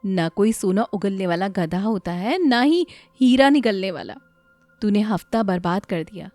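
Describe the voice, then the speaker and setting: A village landlord in a cartoon moral tale, mocking and full of admonishment, played by a woman narrator. He lectures with very lively intonation over faint background music. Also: medium pitch, a little slow, no room sound, close to an everyday voice. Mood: admonishing. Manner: scolding, lecturing. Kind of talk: animated moral story voice acting.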